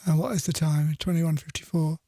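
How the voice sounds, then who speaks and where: Male soul-radio presenter, informal and chatty, fry in the voice, recorded dry and close, loose unscripted delivery. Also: on the high side, little pitch movement, fairly quick, warm tone, no echo. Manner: chatty, rambling, self-correcting. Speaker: radio DJ and show host. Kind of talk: casual radio presenting between soul songs.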